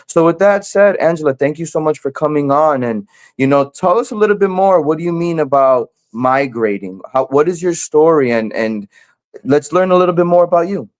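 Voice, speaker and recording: A man's voice: on the high side, lively intonation, brisk. A man hosting a talk show in an upbeat way, addressing viewers and a guest. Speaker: show host, community finance coach.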